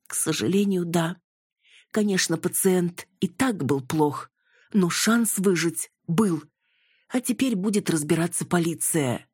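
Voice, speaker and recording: A woman's voice: lowish voice, measured pace, a little mellow, husky, dry recording, voice pushed up. A woman voicing an officious, gossipy, emphatic hospital nurse in an audiobook. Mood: grave, defensive. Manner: scolding then lamenting, emphatic.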